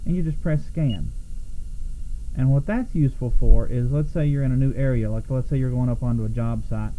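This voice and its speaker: Guy doing a casual gadget review at his desk, really dull mellow voice, bit of background noise, explains stuff. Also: mid-range voice. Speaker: product reviewer. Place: small indoor room at a desk.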